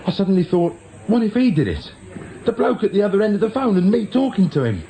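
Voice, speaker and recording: Radio drama petty crook: British, fairly high and animated, jittery, evasive, self-justifying. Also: male voice, fast, creaky, full character voice, rough recording. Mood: shaken, fearful. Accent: British English. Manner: nervous, stammering, self-justifying. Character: jittery small-time crook, male, defensive, evasive.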